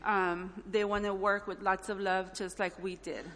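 A woman from El Salvador shares an informal testimony in church. Her medium-pitched voice is halting, earnest and full of feeling. It rings through a hall with long reverb. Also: little pitch movement, a bit bright, slight background noise. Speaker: church mission trip member giving a testimony. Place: large indoor church hall, speaking up front.

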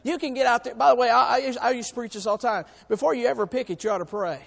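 A hoarse man on church staff preaching a sermon to his congregation, in a folksy way that calls out to them. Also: very high-pitched, fast, long reverb tail. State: hoarse, has lost part of his voice. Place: church sanctuary.

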